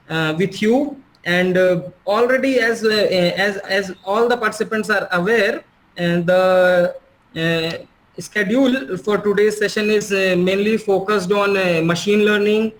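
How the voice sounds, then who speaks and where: A man's voice: sits high, poor audio. A man welcoming a guest speaker on a video call, formal with many fillers. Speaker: workshop host.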